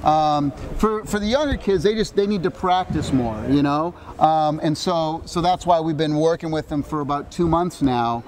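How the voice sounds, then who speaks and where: A middle-aged man with a slightly high voice talks energetically and playfully. He jokes around and acts out quotes with lively bursts. A rehearsal plays in the background. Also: normal speed, hardly any pauses, rough recording. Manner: energetic, jokey, animated quotes. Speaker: show creator. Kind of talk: casual interview at a rehearsal. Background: orchestra rehearsal.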